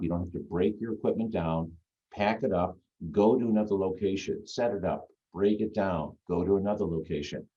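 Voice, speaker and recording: A man with a deep, full radio voice, chatting as a guest on a video call in an easygoing, rambling way. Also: warm tone. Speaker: guest magician. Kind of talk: casual chat on a video call. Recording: dull lo-fi video-call audio.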